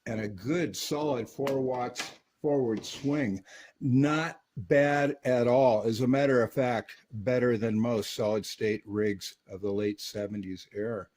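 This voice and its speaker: A man casually reviewing and testing a vintage radio in his workshop, relaxed and peppered with filler words. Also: medium pitch, a little slow, slight background noise.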